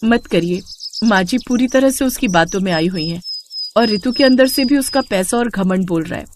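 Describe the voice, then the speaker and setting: Woman acting a gentle, animated young village bride. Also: medium pitch, moderate pace, slight background noise, natural voice. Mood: hurt, firm. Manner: polite, earnest.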